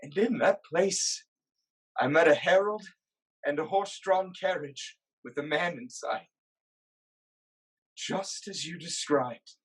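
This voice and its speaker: Someone acting a haunted greek king, husky and grave, slow weighty dramatic lines. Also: mid-range voice, low-quality recording. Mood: grave, brooding. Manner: grave, deliberate, declamatory. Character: anguished proud king of Thebes, haunted by prophecy. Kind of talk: dramatic reading of Greek tragedy.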